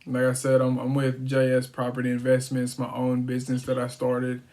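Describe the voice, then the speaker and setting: A man's voice: medium pitch, monotone, fairly quick, a little mellow. A man who works as a real estate wholesaler, speaking casually and informally as he explains things, as on a sales call. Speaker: real estate wholesaler making cold calls. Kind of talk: casual chat and phone sales calls.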